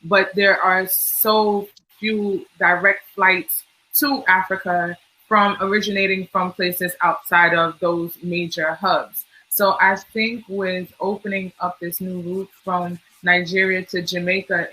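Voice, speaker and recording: A woman speaking as a panelist in a casual discussion, in a measured, thoughtful way. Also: fairly low pitch, sharp tone, slight background noise, rough recording.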